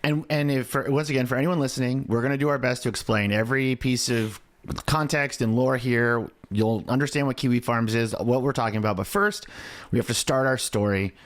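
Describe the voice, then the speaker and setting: A man's voice: mid-range voice, brisk. A man in his thirties hosting a podcast, explaining things in a conversational way. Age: 30s. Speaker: podcast host.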